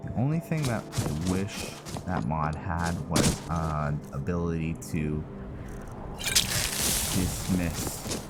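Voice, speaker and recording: Very deep-voiced, unhurried male gamer. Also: lively intonation. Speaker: gamer, streamer. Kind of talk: casual game roleplay commentary. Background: loud game music.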